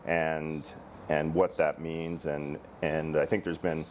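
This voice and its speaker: Very low-voiced man hosting a casual interview. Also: little pitch movement, darkish tone, a little hiss or hum. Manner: halting, repeats words while phrasing questions. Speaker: interview host, girls hockey director.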